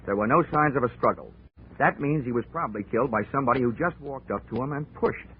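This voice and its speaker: A man's voice: medium pitch, quick pace, slight background noise, lightly acted. An actor playing a wry, laconic male insurance investigator who narrates a 1940s radio drama. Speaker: narrator and lead. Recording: tinny old radio broadcast.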